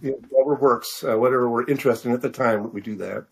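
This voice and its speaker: An older American man from Missouri chatting casually as an interview guest, halting and often correcting himself as he rambles. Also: medium pitch, gravelly. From Missouri, United States. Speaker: guest, fiction author and physician.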